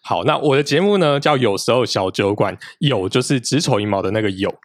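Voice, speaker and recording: A man, a podcast guest explaining his show in a steady, explanatory way. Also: medium pitch, brisk, dry recording, studio quality. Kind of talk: casual interview talk.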